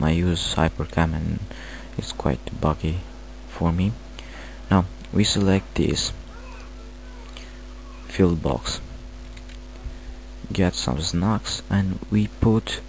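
A man with a Romanian accent, not a native English speaker, halting through a screen-recorded software tutorial with many fillers. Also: deep voice, vocal fry, a little hiss or hum, rough recording. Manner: hesitant, halting, filler-heavy. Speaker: tutorial maker.

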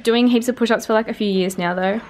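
A young Australian woman talks to her handheld vlog camera in a casual, chatty way full of slang. Her voice sits a little high, and there is faint noise behind it. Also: steady tone, quick pace, a little mellow. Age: 20s. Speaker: vlogger, sprinter. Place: small motel room, sometimes just outside it. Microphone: handheld vlog camera at arm's length.

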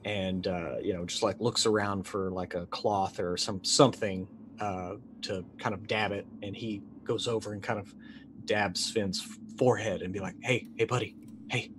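A man's voice: fairly low pitch, fairly even intonation, normal speed, background noise. A man in his thirties improvising as a player in a tabletop roleplaying game, speaking in hesitant, broken phrases. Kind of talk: improvised in-character roleplay and narration.

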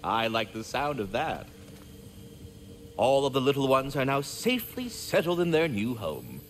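A man's voice: medium pitch, sing-song, unhurried, slight background noise. A man voicing a polite magical squirrel in a video game, with formal, florid, theatrical diction. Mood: courteous, candid.

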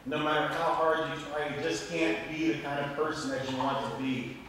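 A man's voice: on the high side, steady tone, normal speed, a bit bright. Male preacher in a very echoey church. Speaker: Lutheran pastor preaching. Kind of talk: sermon. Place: church sanctuary at a lectern. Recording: echoey church, distant mic, faint noise.

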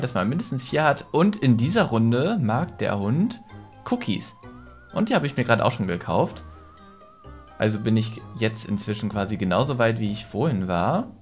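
Animated young man commentating a video game. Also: mid-range voice. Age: young adult. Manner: chatty, thinking aloud, expressive exclamations. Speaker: gaming YouTuber, host. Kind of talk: casual let's play commentary. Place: small decorated gaming room, indoors. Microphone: headset. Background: game music.

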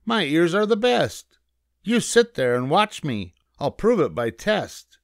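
Guy doing a cocky storybook bunny, high voice, snappy and braggy, real animated. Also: slow, no room sound, clean studio sound, heavily acted. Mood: snappy, boastful. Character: boastful, cocky little male rabbit.

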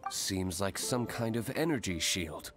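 A man's voice: sits low, lively intonation, measured pace, music in the background. A man voicing a brooding, aloof young swordsman in a video game, dry, serious and curt.

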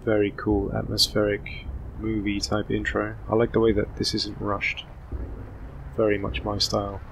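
A hoarse man hosting a casual gaming commentary, rambling with many ums. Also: fairly low pitch, completely flat delivery, moderate pace, a bit bright, slight background noise, rough recording. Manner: rambling, self-interrupting, full of ums. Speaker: gaming channel host. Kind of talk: casual let's play commentary.

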